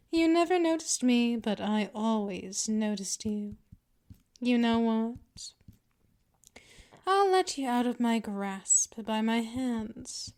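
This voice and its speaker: A woman playing a dominant, patient female vampire mistress in an ASMR roleplay, teasing, menacing and condescending in a deliberate delivery. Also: a little high. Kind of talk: ASMR roleplay, scripted monologue.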